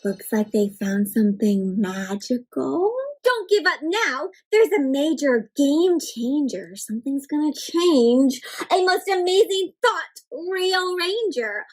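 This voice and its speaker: High-pitched woman reading aloud to children. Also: slow pace, poor audio. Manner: exaggerated gasps and expressive storytelling; addresses children directly with frequent questions. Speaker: children's read-aloud host. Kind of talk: interactive picture-book read-aloud for children.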